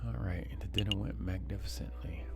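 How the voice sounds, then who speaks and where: A man's voice: low-pitched, steady tone, brisk, gravelly, over music. A man streaming a game, casually thinking aloud in a muttering, musing way.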